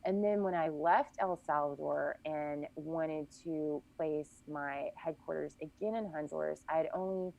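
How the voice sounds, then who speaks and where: Low gravelly woman recounting details. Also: a little slow, hardly any pauses, a little mellow, highs cut off. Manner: long detailed recounting, frequent self-corrections. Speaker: podcast guest. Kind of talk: casual podcast chat.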